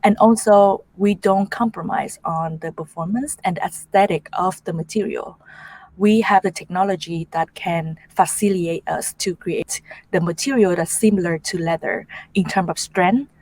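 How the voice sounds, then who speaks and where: A woman's voice: lowish voice, normal speed, a little mellow, no room sound. A woman from Vietnam whose first language is Vietnamese, presenting her startup as its CEO with an earnest manner, frequent ums and non-native grammar.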